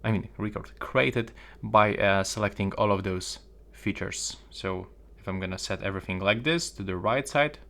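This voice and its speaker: A man's voice: on the low side, normal speed, gravelly, pushed and bright. A man presenting a step-by-step phone tutorial.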